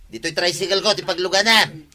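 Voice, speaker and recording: A man's voice: high voice, a little fast, pushed and bright. A man playing a bossy, quarrelsome tricycle driver in a radio comedy, with an insistent, complaining manner and an Ilocano accent. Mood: indignant. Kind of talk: Filipino radio comedy drama acting.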